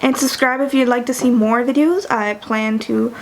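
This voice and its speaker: A woman's voice: fairly high pitch, fairly even intonation, moderate pace, rough recording. A young woman talking casually in a vlog, chatty and enthusiastic, with frequent restarts and fillers. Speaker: book vlogger.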